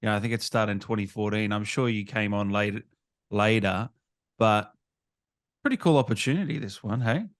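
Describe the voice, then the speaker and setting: Guy interviewer for a gaming channel, lowish voice, casual chatty questions. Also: normal speed.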